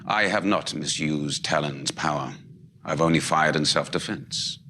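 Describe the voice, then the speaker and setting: An actor playing a controlled military commander in a science fiction series, formal, measured and to the point. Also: male voice, rumbling low, pushed and bright, exaggerated voice.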